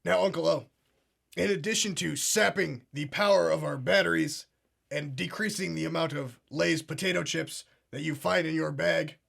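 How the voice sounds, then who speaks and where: An actor playing a pompous conspiracy talk show host, earnest and solemn in a deadpan parody. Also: male voice, on the high side, gravelly, exaggerated voice.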